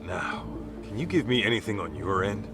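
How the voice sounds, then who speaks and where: A gruff, blunt street detective, played by a man in a video game drama. His low voice swings animatedly through casual, slangy lines, with music clearly playing behind him. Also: lively intonation, normal speed, lightly acted. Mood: measured, serious. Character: gruff, easygoing tough male street detective, fond of cursing. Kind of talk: dramatic game cutscene dubbing.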